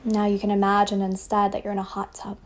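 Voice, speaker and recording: A woman leading a guided meditation as a teacher, speaking softly and breathily in a soothing way with long pauses, over ocean waves. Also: medium pitch, completely flat delivery. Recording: faint ambient wave noise behind voice. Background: ocean waves sound effect.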